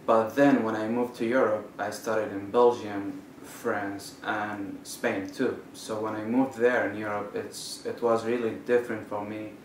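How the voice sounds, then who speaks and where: A young man from Saudi Arabia, a student, answering interview questions in a halting way with many fillers and restarts. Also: fairly low pitch, little pitch movement. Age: young adult. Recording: roomy, distant mic with faint noise.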